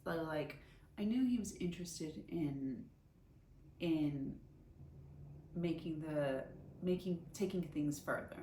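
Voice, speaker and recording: Woman in her 40s telling a personal story, candid n chatty, soft dark tone, echoey room w a little noise. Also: sits low, slow pace, pauses often. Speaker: storyteller, vlogger. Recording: roomy echo, faint background noise.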